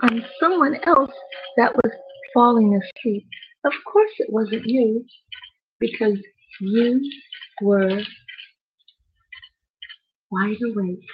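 A woman's voice: a little high, slow, pauses often. A woman hosting a children's bedtime story time, expressively narrating and speaking directly to young listeners. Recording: dull lo-fi sound with music.

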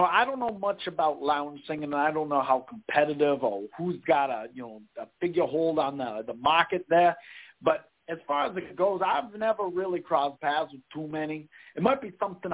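A man's voice: fairly high pitch, lively intonation, moderate pace. A man playing a sweaty, self-important showbiz consultant who rambles through restarts and garbled sayings. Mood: rambling. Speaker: guest. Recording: tinny phone-like line.

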